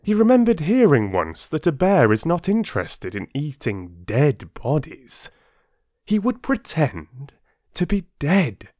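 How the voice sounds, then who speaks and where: A man working as a storyteller and host, speaking with measured, deliberate phrasing as if reading to listeners. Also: on the high side, wildly swooping pitch, darkish tone, no room sound, clean studio sound. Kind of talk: expressive storybook narration and listener address.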